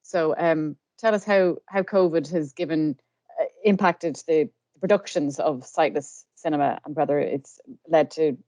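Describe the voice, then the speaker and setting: An Irish woman hosting a podcast interview. Her voice is notably low for a woman and recorded dry, with no room sound, as she speaks conversationally to her guest. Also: unhurried, dark tone, no room sound.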